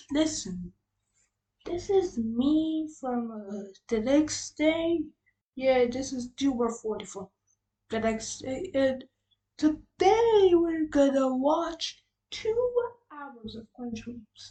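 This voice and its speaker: A woman doing casual reaction commentary as a video host, halting and slangy in her delivery. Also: high-pitched, a little mellow, rough recording.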